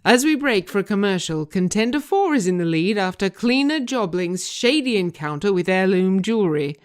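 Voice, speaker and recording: A woman's voice: mid-range voice, lively intonation, normal speed, smooth, close to an everyday voice. A woman voicing a showy, gleefully goading male reality TV host with announcer-like suspense in a scripted reading. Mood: teasing announcement.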